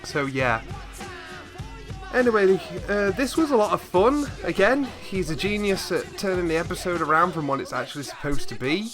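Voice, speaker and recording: A man's voice: sits high, very animated, normal speed, over music. A man hosting a reaction video, chatting casually to viewers in a rambling, easily amused way on a desktop microphone. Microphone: desktop USB microphone, slightly set back.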